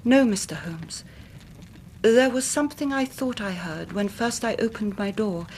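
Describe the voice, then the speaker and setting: An actress playing a grieving young English gentlewoman in a radio drama, speaking formally and precisely as a dramatic storyteller. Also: mid-range voice, unhurried, a little hiss or hum, lightly acted. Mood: sombre, earnest. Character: grieving young gentlewoman recounting her twin sister's death.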